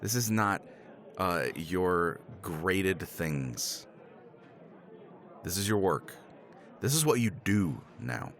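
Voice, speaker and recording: A man's voice: low voice, expressive, takes it slowly, long pauses, a bit bright, exaggerated voice. A man playing a tipsy middle-aged professor in a romance roleplay, rambling with chuckles and casual swearing. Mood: persuasive. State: tipsy from scotch. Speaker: roleplay character.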